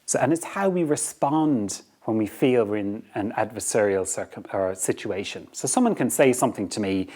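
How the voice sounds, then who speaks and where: A man's voice: fairly low pitch, animated, normal speed, hardly any pauses, a little mellow. Irish man giving an earnest leadership talk. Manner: earnest, repeats words, checks in with "okay?". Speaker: podcast host.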